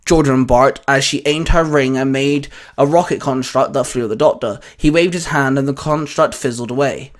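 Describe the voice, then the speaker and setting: A man's voice: mid-range voice, moderate pace, few pauses. A man reading scripted fan fiction as a story narrator.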